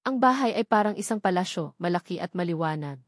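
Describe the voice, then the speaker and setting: A woman's voice: on the low side, expressive, fast talker, no room sound, clean studio sound. A woman reading a scripted dramatic story as its narrator.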